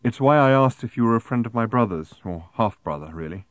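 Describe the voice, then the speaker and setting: A man's voice: medium pitch, expressive, dry recording, natural voice. Man voicing a stern, teasing young woman, dry sound. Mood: matter-of-fact. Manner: brisk, playfully stern.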